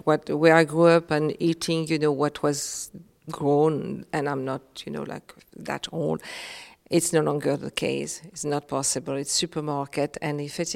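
A woman from Réunion, a Creole speaker, speaking earnestly as an interview guest, halting with many fillers and restarts. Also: deep voice, creaky, big-room sound. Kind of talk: reflective conversational interview.

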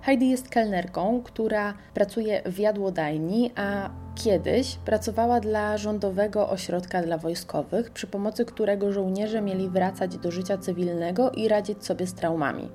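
A woman reading a scripted radio segment that recommends podcasts, in a measured and enthusiastic way. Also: mid-range voice, mellow, soft background music.

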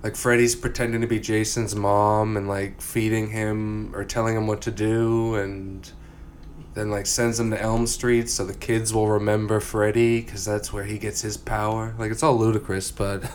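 A man's voice: fairly low pitch, little pitch movement, measured pace, a little hiss or hum. A man co-hosting a podcast, chatting casually and jokingly about movies in a rambling way, getting over a cold. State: lingering cough from a cold. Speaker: podcast co-host.

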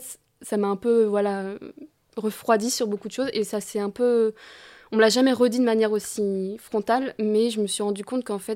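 A woman artist on an interview podcast speaks in a flat, even tone with a mellow sound. She hesitates often and uses many fillers, but she is frank and critical. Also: mid-range voice. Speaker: artist guest. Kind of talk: casual interview talk.

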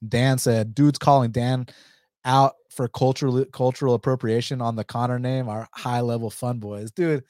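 A young American man talks through upcoming fights in a loose, profane, animated way, talking straight to his viewers. His voice sits in an ordinary middle pitch for a man. The recording is dry, with no room sound. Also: moderate pace, no echo. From United States. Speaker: MMA fight picks commentator. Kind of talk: casual commentary.

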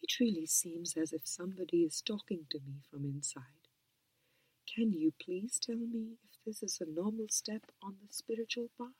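Low, breathy whispering woman reader. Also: dry recording, low-quality recording. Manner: whispering, calm and unhurried. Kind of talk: soft whispered reading of a spiritual book.

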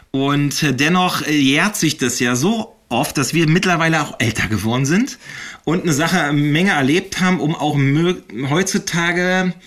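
A man's voice: fairly high pitch, a bit bright. A man in his forties from northeastern Germany hosting a casual podcast, talking in a rambling way with many fillers and restarts. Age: 40s. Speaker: podcast host.

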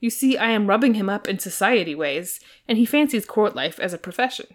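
A smooth nobleman of a comic storybook, as a woman plays him. He is courtly and deferential, always ingratiating, and quick with an answer. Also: mid-range voice, lively intonation, natural voice. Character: smooth, deferential, quick-witted English earl.

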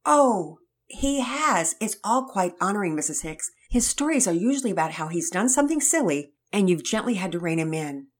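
A kindly mother chats sweetly and offers gentle reassurance, as a woman reader plays her. Her speech rises and falls in a lively, friendly way. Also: medium pitch, normal speed, hardly any pauses. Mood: reassuring. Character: gentle, kindly church mother, Mama Peters.

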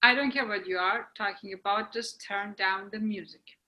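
A woman's voice: mid-range voice, fairly even intonation, normal speed, sharp tone. A woman playing a stern police officer giving firm orders in a screenplay reading. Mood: insistent. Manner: firm, commanding. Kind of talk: screenplay table read.

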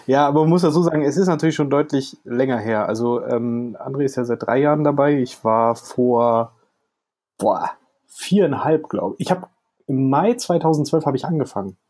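A man's voice: medium pitch, normal speed, a little mellow. A man co-hosts a podcast in a loose, chatty way. He thinks aloud, reaching back for dates and names as he tells the story. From Berlin, Germany. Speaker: podcast co-host. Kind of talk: casual podcast conversation.

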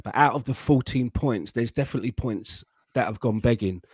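A man on a football fan panel, speaking in a measured, careful way and restarting as he thinks. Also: mid-range voice, husky, dry recording. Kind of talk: casual football fan chat.

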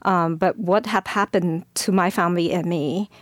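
A woman's voice: lowish voice, fairly even intonation, normal speed, no echo. A woman from China, a guest in an interview, telling her story hesitantly with fillers and self-corrections. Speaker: guest, former school board candidate.

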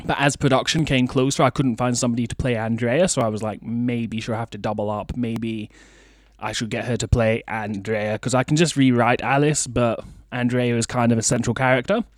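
A man's voice: mid-range voice, fairly even intonation, a little fast, dry recording. A man hosting a podcast in a studio, chatting informally and reflectively with a self-deprecating manner, close on the mic. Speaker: podcast host. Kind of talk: casual reflective podcast chat.

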